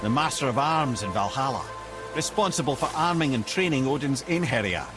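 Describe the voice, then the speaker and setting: An actor playing a chatty, witty old advisor in a video game, a wry storyteller. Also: male voice, mid-range voice, lively intonation, brisk, over music, close to an everyday voice. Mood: explanatory. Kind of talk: video game dramatic acting.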